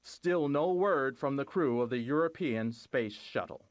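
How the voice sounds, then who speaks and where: A man playing a television newsreader in a video game, delivering a measured scripted bulletin. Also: medium pitch, animated, no echo, muffled recording, lightly acted. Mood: neutral, formal. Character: male TV newsreader. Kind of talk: scripted newscast.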